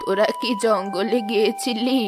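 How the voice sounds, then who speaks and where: A woman voicing a gentle, doting old village grandmother in a children's cartoon. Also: a little high, steady tone, normal speed, lightly acted. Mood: worried scolding. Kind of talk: children's moral-story cartoon dubbing. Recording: music behind the voice.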